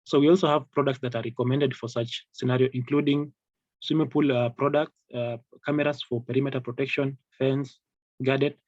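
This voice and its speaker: A man giving an informal product training over slides in a halting, repetitive, hesitant way. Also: medium pitch, fairly even intonation, a little mellow, no echo, muffled recording. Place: online video call with screen-shared slides.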